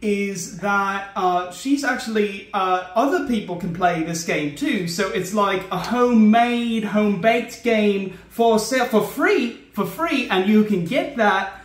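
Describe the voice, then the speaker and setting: A man's voice: high-pitched, a little slow, few pauses. A man hosting a comedic let's play in a rambling, naive, obtuse way. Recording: roomy echo, faint music behind.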